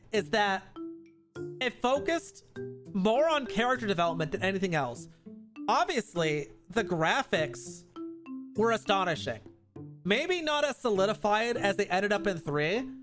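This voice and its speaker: Guy with a pretty high voice, really up and down, chatting about games on stream, music playing behind him. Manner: chatty, rambling, self-correcting. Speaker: livestream host. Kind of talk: casual gaming commentary, reviewing and ranking games. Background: background music.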